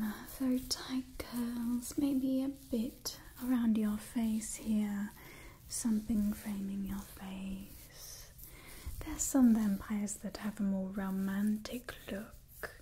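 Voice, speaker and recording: Refined vampire matriarch played by a young woman, doting ASMR whisper, gently imperious, unhurried, some background noise. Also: mid-range voice, lightly acted, rough recording. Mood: appraising, refined. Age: young adult. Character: refined, doting female vampire clan leader. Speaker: ASMR roleplay performer. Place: small indoor room with wooden backdrop.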